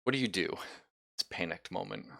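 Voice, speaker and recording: A man's voice: deep voice, quick pace, gravelly, sharp tone, no echo. A man improvising narration as game master of a tabletop roleplaying game, conversational and playful, on a close mic. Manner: conversational, playful, swears casually.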